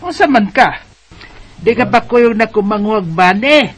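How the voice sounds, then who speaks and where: A woman playing a fussy, excitable village mother who scolds and exclaims, in a Bisaya radio drama with a Cebuano accent. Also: a little high, moderate pace, slight background noise, close to an everyday voice. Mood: fussy, chiding. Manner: exclamatory, nagging.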